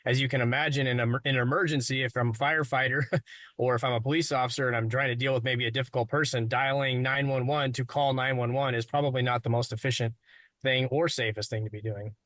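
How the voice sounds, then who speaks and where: A man's voice: mid-range voice, steady tone, fast, a bit bright, no room sound. An American man, a public safety official, explaining things off the cuff in an interview.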